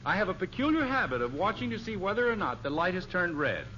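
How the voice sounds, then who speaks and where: A man's voice: sits high, sing-song, fast, pushed and bright, heavily acted. An actor playing an exasperated middle-aged American father in a 1950s radio sitcom, with dry, sarcastic delivery. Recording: dull, noisy old radio recording.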